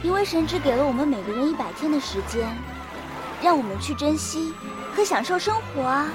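A woman plays a carefree young village girl in an audio drama, with a high, lively voice. She is innocent, playful and full of questions, with music clearly behind her. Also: a little fast. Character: carefree, curious young village girl living only a hundred days. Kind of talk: radio drama acting. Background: background music.

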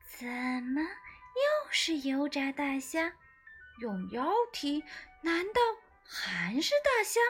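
A woman voicing timid but excitable little storybook creatures with an eager, squeaky manner. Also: takes it slowly, soft background music, full character voice. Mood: doubtful.

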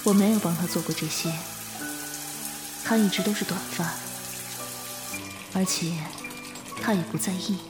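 A woman acting a protective, mature lover in a modern radio drama, tender, measured and intimate. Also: on the low side, steady tone, lightly acted. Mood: devoted. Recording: roomy echo, music behind.

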